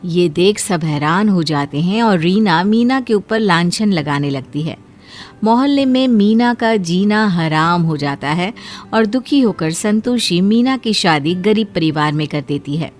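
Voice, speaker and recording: A woman narrates a cartoon moral story in a steady, clear manner. Her voice sits a little low for a woman. Light music plays under her. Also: a little slow.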